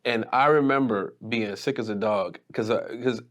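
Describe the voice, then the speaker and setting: A man hosting a casual chat, relaxed and loose, laughing often. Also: on the low side, normal speed.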